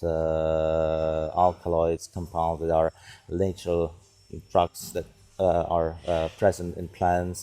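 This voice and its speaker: A middle-aged man with a Czech accent, a non-native English speaker, answering interview questions conversationally and haltingly as he searches for words. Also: low voice, dark tone, slight background noise. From Czech Republic. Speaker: psychiatrist and neuroscience researcher, interview guest.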